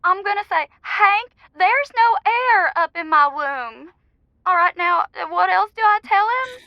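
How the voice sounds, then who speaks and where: Comedy radio caller: naive, lovelorn young woman, piercingly high, halting and distressed, slightly muffled line. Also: lively intonation, no room sound, exaggerated voice. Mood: earnest, resolved. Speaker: advice show caller. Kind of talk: improvised comedy phone-in.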